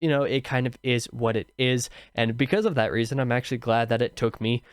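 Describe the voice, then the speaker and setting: A man's voice: mid-range voice, fairly quick, clear, resonant tone, dry recording. Tired-voiced man reviewing a book. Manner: rambling, many fillers and restarts. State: tired, slightly scratchy voice. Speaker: book review channel host. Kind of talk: casual spoken book review.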